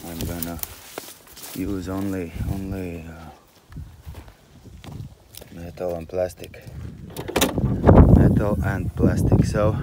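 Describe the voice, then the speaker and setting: Slow-talking man vlogging over loud noise. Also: deep voice. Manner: halting, many restarts and small laughs. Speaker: fishing vlogger. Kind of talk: casual self-filmed vlog. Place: driving a car, then outdoors by a river. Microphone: phone camera. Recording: loud car and outdoor noise.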